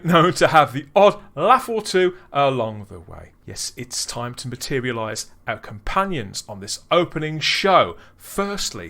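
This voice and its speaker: A man hosting a podcast, chatting casually and delivering upbeat, theatrical links with quick laughs. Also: medium pitch, fairly bright tone. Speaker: podcast host.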